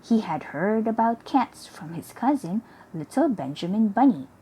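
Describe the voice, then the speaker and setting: A woman reading a children's story aloud in a careful, expressive, dramatic storytelling manner, with a slightly husky voice. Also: medium pitch, normal speed, low-quality recording.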